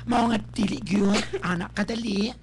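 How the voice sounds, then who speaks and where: A woman playing a fussy, caring, excitable nanny in a melodramatic radio drama, exclamatory and scolding, with a Cebuano Filipino accent. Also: mid-range voice, moderate pace, close to an everyday voice. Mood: advising, chiding. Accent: Filipino, Cebuano-speaking. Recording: faintly noisy live radio capture.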